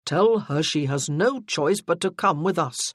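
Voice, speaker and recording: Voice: medium pitch, expressive, a little slow, no echo, studio quality. An audiobook narrator voicing a weary, irritated young nobleman in a period novel. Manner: weary, peevish.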